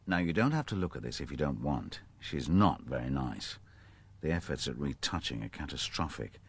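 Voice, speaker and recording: Low-voiced, thoughtful police detective. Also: male voice, sing-song, normal speed, breathy edge, heavily acted. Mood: gentle. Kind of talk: radio drama dialogue.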